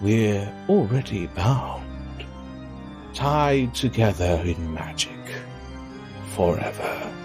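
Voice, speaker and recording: An actor playing a seductive, menacing villain in an audio drama, with a drawling, theatrical, teasing delivery. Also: male voice, fairly low pitch, lively intonation, extremely slow, long pauses, music in the background. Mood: possessive, menacing.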